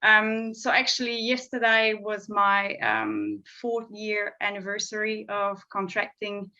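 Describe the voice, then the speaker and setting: A woman, a guest on a video call, telling her story hesitantly with many pauses. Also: mid-range voice, very animated, sharp tone. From Quebec, Canada. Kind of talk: personal account. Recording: dull lo-fi call audio.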